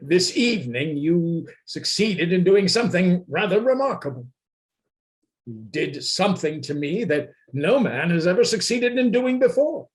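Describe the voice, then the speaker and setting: A man plays a grandiose, easily offended anarchist in a radio play. He speaks slowly and formally, haltingly, with a high voice that swings widely. It sounds like a reading on a video call. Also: very animated. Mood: aggrieved. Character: proud, offended male anarchist, secretive and grandiose.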